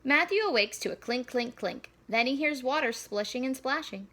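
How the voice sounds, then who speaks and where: A woman reading a children's storybook aloud in an animated, deliberate storyteller delivery. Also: high voice. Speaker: school staff member reading aloud.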